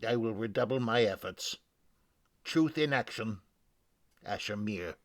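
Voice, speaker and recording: Guy doing a brooding formal scholar from game lore, lowish voice, talks slow, dry sound. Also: animated, dry recording. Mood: brooding, uneasy. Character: weary, grave scholar infected by machine parasites. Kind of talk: dramatic reading of game lore.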